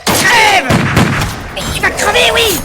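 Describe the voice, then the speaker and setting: A man's voice: extremely high pitch, takes it slowly, exaggerated voice. A man acting a foul-mouthed, exasperated adventurer in a fantasy comedy audio drama, urgent and exclamatory. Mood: fierce. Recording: loud music behind.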